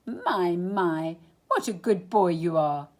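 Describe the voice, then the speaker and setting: Woman as kindly old man, doting puppet maker, tender, wondering, animated pitch, storybook character. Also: takes it slowly. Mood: delighted.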